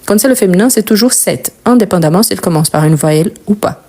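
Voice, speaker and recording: A young woman from Brazil, a native Portuguese speaker, teaching French grammar as a teacher and slowly repeating example words for her learners. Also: medium pitch, lively intonation, warm tone.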